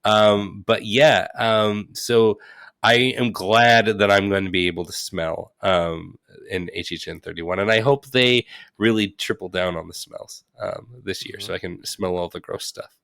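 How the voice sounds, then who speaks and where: A man with a fairly low voice chats as a podcast co-host. His delivery is casual and halting, recorded close and dry. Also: fairly even intonation, gravelly, a bit bright, dry recording.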